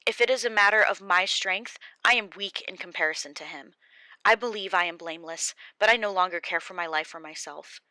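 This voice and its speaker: A woman gives voice to Job, a grieving old man who argues back hard against his accusers. The delivery is raised and impassioned, pleading yet defiant. The tone is bright and sharp, sitting a little low, in a dry, close storybook reading. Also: fairly quick, no room sound, rough recording. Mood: impassioned defiance. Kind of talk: audiobook character reading.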